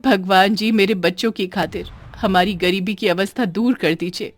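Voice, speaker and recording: A woman voicing a poor, tender village mother in a cartoon moral story, plaintive and expressive. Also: mid-range voice, moderate pace, dry recording, close to an everyday voice. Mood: sorrowful prayer. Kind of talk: animated moral story acting.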